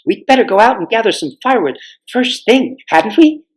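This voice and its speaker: A man reads aloud as a lively storybook schoolgirl out adventuring. The voice is on the high side for a man, with an eager, chatty and unpretentious manner. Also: moderate pace. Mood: eager, excited.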